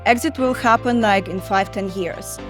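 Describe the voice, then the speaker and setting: A woman's voice: medium pitch, moderate pace, faint music behind. A woman in her thirties from Belarus, speaking as a guest in an interview, talking with frequent fillers and restarts. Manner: hesitant, many fillers and restarts. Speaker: guest investor. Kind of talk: conversational interview.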